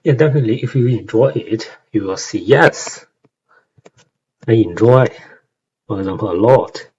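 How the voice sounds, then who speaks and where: Low-voiced man with Chinese accent. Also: normal speed, darkish tone, husky. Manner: hesitant, many fillers and rhetorical "right?" checks. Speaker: language learner explaining song lyrics. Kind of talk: casual explanatory lesson. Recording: dull lo-fi home recording with faint hiss.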